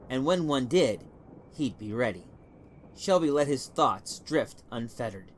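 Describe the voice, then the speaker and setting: Male narrator for short fiction, mid-range pitch, measured dramatic storytelling, faint noisy ambience behind. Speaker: story reader.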